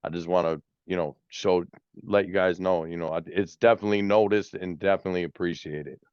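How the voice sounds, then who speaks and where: Laid-back low-voiced man, lo-fi. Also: fairly even intonation, brisk, smooth, dry recording. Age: 40s. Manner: laid-back, drawled shout-outs, tag "man" and "you know what I mean". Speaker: music producer, guest. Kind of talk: casual interview chat.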